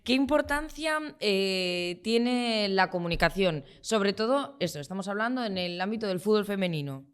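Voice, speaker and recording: A woman hosts a relaxed interview. She asks long, winding questions and keeps the talk going with short, supportive replies, at a middle pitch. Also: moderate pace, hardly any pauses, smooth. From Spain. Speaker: interviewer, host. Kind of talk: conversational interview.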